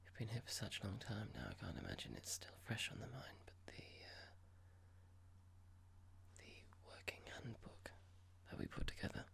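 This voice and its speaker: An ASMR performer playing a cold, condescending boss in a roleplay, speaking softly in a measured, formal, halting and passive-aggressive way. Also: medium pitch, big pitch swings, heavily acted. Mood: cold, probing. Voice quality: breathy, creaky whisper. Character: cold condescending boss about to fire an employee. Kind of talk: ASMR roleplay, whispered and soft-spoken.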